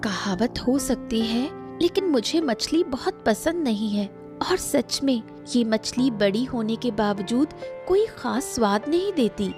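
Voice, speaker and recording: A woman plays a haughty cartoon lady who looks down on poorer folk. Her voice sits a little high and cuts with scorn, over faint music. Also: expressive, unhurried. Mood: snobbish. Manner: snobbish, cutting. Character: proud, sharp-tongued woman of a rich house. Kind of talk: cartoon story dialogue. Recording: soft background music.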